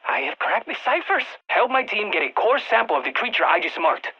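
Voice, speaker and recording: A woman voicing an eager, geeky field scientist in a video game, with a Latin American Spanish accent, talking over radio comms in an expressive, quirky way. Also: medium pitch, fairly quick, breathy edge, muffled recording, exaggerated voice. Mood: upbeat. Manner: expressive, quirky, nerdy enthusiasm. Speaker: mission guide scientist.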